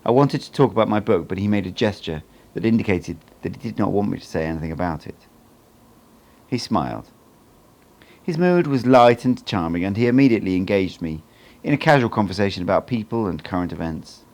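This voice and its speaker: A man reading a book aloud as an amateur audiobook narrator, in an expressive storytelling style. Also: on the low side, fairly quick.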